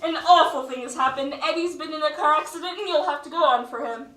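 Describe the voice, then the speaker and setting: An echoey recording from far back in a theatre. A young woman plays an impatient stage manager with a very high, bright, forceful voice, projecting orders in a commanding way. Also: quick pace. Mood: urgent, impatient. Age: teens. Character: brisk, bossy, impatient female stage manager. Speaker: student actor. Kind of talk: stage play acting.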